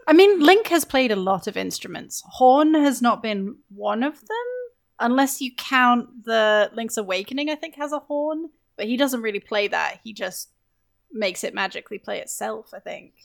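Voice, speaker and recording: A woman chatting casually on a gaming podcast, telling stories in an animated way and acting out quotes. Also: fairly high pitch, normal speed, gravelly. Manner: animated storytelling with acted-out quotes.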